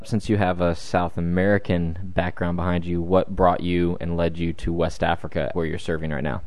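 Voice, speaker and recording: Very deep, flat, dry-sounding interviewer. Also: male voice, normal speed, no echo. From Ivory Coast. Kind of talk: interview questions.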